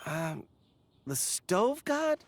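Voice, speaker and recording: A woman's voice: on the low side, big pitch swings, extremely slow, a little hiss or hum, heavily acted. A woman voicing a polite, flustered warehouse worker in a video game, deferential and hesitant. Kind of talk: anime video game voice acting.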